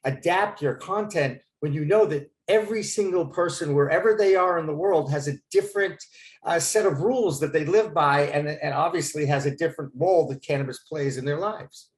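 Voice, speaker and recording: An American man in his fifties hosts the conversation in a chatty, rambling way, with plenty of fillers. His voice sits a bit high for a man. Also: a little fast. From United States. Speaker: host. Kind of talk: conversational interview.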